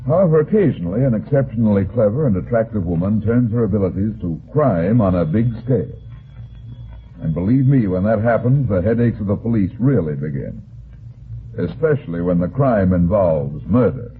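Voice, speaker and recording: Male actor doing hard-boiled detective, wry, blunt, terse, lively swings in pitch, old radio drama, phone-like thin sound. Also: fairly quick, faint music behind, natural voice. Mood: wry narrating. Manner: terse, tough, sardonic. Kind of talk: old-time radio detective narration and dialogue.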